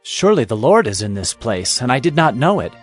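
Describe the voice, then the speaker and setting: A man's voice: mid-range voice, big pitch swings, a little fast, faint music behind. An actor playing an earnest young male traveler, speaking emphatically in dramatized scripture. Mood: awed.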